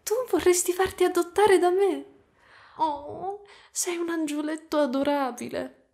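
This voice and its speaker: A woman voicing a gentle, doting spider-woman caretaker in a soft, cooing ASMR roleplay. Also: very high-pitched, unhurried. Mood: tender. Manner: soft, cooing, tender, sometimes whispering.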